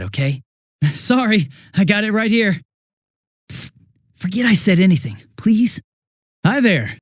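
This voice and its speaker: An actor playing a bumbling, chatty young police detective in a video game dub, with an American accent and a sheepish, stammering, casual manner. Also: male voice, a little high, no echo, studio quality, heavily acted. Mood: friendly, goofy.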